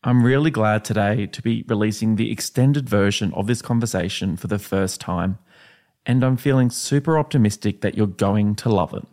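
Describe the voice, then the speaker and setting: An Australian man, the podcast host, reading a steady, upbeat episode introduction. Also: on the low side, normal speed.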